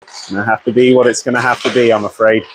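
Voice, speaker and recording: Croaky British man commentating hockey. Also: mid-range voice, fast talker, heavy background noise. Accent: British English. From Britain. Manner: chatty and apologetic, excitable on goals. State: croaky from a cold. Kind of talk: live amateur sports commentary. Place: small media box in an ice arena.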